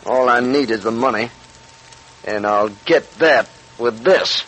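A man's voice: medium pitch, measured pace, fairly bright tone. A man playing a swaggering, boastful gangster bank robber in a 1940s radio crime drama, talking in emphatic tough-guy slang. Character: cocky, swaggering male gangster bank robber craving money and nightlife. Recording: noisy vintage 1940s radio recording.

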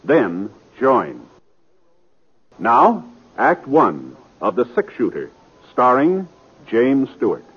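A man's voice: mid-range voice, huge pitch swings, slow, pauses often. An American man announcing formally in scripted, declamatory 1950s network radio style. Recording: muffled 1950s radio recording.

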